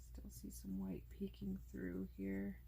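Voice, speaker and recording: A woman host casually narrating a coloring tutorial, chatty and relaxed, thinking aloud as she works, and losing her voice. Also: mid-range voice, slow pace, gravelly, slight background noise.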